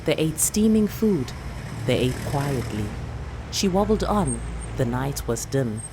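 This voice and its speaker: Very deep-voiced South African woman narrating a story. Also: sing-song, slow, noisy background. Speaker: actor reading a short story. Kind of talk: dramatic literary story narration.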